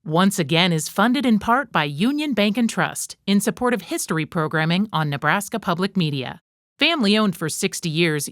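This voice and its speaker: A woman reads a sponsor announcement in a smooth, even delivery. Her voice sits mid-range. The sound is dry and close. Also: medium pitch, no room sound. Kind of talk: read underwriting announcement.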